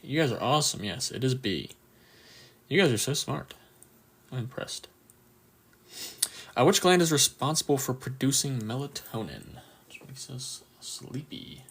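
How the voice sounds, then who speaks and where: Croaky man quizzing students live. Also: medium pitch, big pitch swings, a little slow, long pauses, a bit bright. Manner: encouraging, reads out answer options and viewer replies. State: just woke up, a bit tired. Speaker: anatomy tutor running a live quiz. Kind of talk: casual livestream quiz review.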